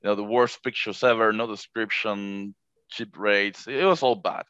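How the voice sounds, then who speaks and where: A man whose first language is Spanish, chatting on a podcast about managing rentals, halting with frequent restarts. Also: fairly low pitch, fairly bright tone, clean tone, no room sound, muffled recording. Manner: halting, many restarts, laughs easily. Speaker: short-term rental manager. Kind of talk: casual podcast chat.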